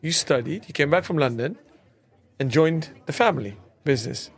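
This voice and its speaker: A man hosting a conversational interview, deliberate in how he lays out his points. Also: a little high, expressive, gravelly. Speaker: interview show host.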